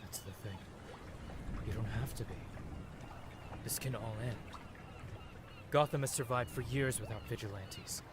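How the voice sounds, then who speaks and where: A man's voice: medium pitch, a little slow, long pauses, heavy background noise, natural voice. A man playing a protective, earnest vigilante mentor in an audio drama. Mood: urgent, sincere.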